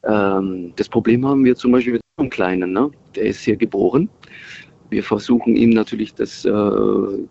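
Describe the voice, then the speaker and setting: A middle-aged man whose first language is Italian talks on a muffled speakerphone call, his voice husky and gravelly. He speaks haltingly and keeps restarting his sentences. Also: lowish voice, fairly even intonation, dark tone, no room sound. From Italy, moved to Germany at six. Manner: hesitant, many fillers and restarts. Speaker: radio caller. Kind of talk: casual phone-in chat.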